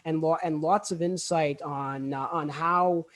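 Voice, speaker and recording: A man's voice: a little high, steady tone, measured pace, a bit bright. A young man hosting a student podcast casually, stammering and repeating words as he goes. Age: young adult.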